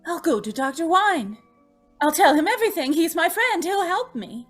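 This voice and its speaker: Woman acting a high-pitched, tormented young woman. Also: big pitch swings, normal speed, fairly bright tone, faint music behind, exaggerated voice. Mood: desperate, pleading. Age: young adult. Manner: pleading, tremulous, dramatic. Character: tormented, frightened, gentle young woman confiding in mother. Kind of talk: old-time radio horror acting.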